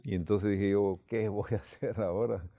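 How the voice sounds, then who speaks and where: A man's voice: lowish voice, steady tone, moderate pace, warm tone, no echo, clean studio sound. A man from Guatemala, telling a personal story unhurriedly with quoted dialogue, as a church leader.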